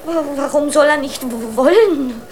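A woman's voice: sits high, unhurried, background noise. A woman playing an imperious royal child in a children's radio play, grandly formal and decisive, stumbling on the odd word. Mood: insistent, grand. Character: imperious royal child, princess of a hard-up family.

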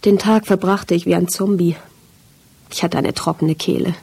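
A woman's voice: lowish voice, creaky, warm tone, husky. A woman reading a literary first-person story as a scripted audiobook narration.

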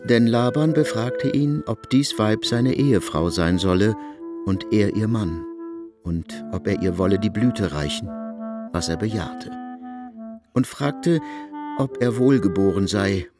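Deep-voiced male literary narrator. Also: unhurried, music in the background.

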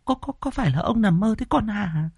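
Man voicing a high, trembling old village wife. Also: animated, brisk, darkish tone, slightly rough, no room sound, studio quality, exaggerated voice. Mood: trembling fear. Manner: stammering, quavering. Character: frightened, stammering elderly village wife.

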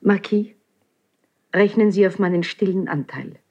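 Husky, dignified queen. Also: medium pitch, measured pace, darkish tone. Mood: stirred, resolute. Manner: stately, formal, restrained. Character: dignified, guarded queen of noble feeling. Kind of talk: classical German verse radio drama acting.

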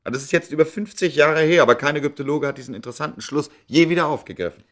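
A man's voice: fairly high pitch, racing, a bit bright, natural voice. A man acting in a German radio play as a self-absorbed, stubborn scholar, boastful yet anxious, with a brusque, hesitant delivery. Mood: absorbed, musing. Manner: hesitant filler-laden speech, brusque, interrupting.

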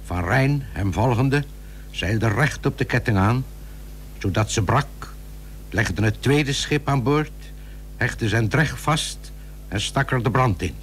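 A man playing a solemn chronicler, narrating a historical radio drama deliberately from a script. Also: mid-range voice, lively intonation. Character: solemn chronicler of old naval battles. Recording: old radio recording with faint hum.

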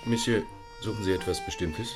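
Deep-voiced obliging junk dealer, played by a man. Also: brisk, over music, lightly acted. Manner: deferential, salesmanlike. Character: obliging male junk dealer selling old furniture. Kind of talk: radio play acting.

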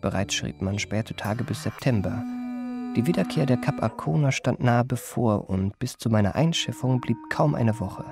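Self-regarding gentleman memoirist, radio drama, ornate polished phrasing, gravelly creak, prominent music bed. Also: mid-range voice, expressive, moderate pace. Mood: composed, precise. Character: refined, self-regarding male adventurer recounting his memoirs. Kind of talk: literary radio drama narration.

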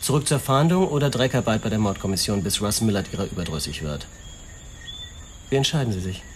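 A man plays a commanding police superior in a radio drama. The voice is fairly low with a very lively melody, giving orders with authority. Clear cricket-like noise sits behind it. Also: fairly quick, lightly acted. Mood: authoritative. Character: male senior police official, brisk, commanding superior.

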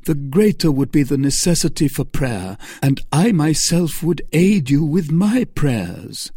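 A narrator plays a pious male officer from a classic novel, stern and moralizing. He talks slowly and formally, with earnest gravity and a mellow tone. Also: medium pitch, big pitch swings, slightly rough, dry recording, studio quality, pitched above the performer's own voice.

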